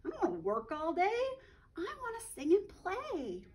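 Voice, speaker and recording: A woman's voice: very high-pitched, huge pitch swings, moderate pace, a little mellow, pitched above the performer's own voice, full character voice. A woman doing a cheeky little lazy pig. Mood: carefree. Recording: distant mic.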